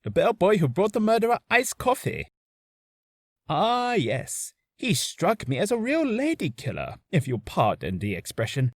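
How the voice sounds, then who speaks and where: A man's voice: high voice, huge pitch swings, a little slow, no echo, studio quality, heavily acted. A man voicing an obsequious, self-important hotel bellboy with a theatrical, fawning manner for a character reel. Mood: dramatic, confiding.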